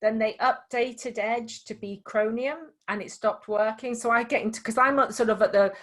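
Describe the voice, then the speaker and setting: Slight Scouse woman, middle-aged, chatty tutor demoing software, loose and restarting. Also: on the high side, steady tone, normal speed. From Liverpool. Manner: chatty, demonstrative, many restarts. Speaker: dyslexia tutor. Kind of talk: casual screen-share tutoring session.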